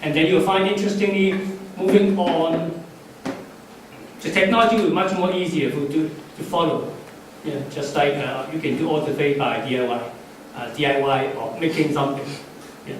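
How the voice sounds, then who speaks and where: A middle-aged man whose first language is Cantonese, giving halting, unscripted closing remarks at a conference, with many fillers and restarts. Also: a little high, steady tone. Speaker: conference speaker. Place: conference hall. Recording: echoey hall, far from the mic, faint noise.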